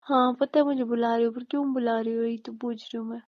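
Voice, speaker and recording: A woman's voice: fairly high pitch, steady tone, quick pace, dry recording, lightly acted. A woman playing a coy, questioning wife who banters and mildly protests in a casual at-home conversation. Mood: puzzled, protesting. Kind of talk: intimate Hindi role-played couple conversation. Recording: muffled, lo-fi, dry call-style recording.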